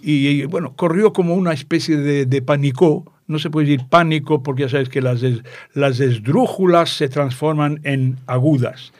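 An older man with a northern Moroccan Judeo-Spanish accent and a fairly high-pitched voice, recorded dry. He tells things conversationally and playfully, wandering off to explain dialect words. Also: normal speed, hardly any pauses, darkish tone, no echo. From Tangier, Morocco. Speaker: interview guest, author. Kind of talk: conversational storytelling.

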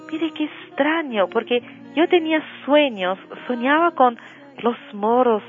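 A Brazilian-born woman singer, a guest on a radio interview by phone, telling her story slowly with long pauses and fillers. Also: fairly high pitch. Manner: slow, warm storytelling with drawn-out fillers, sings softly at times. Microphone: telephone. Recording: tinny phone line.

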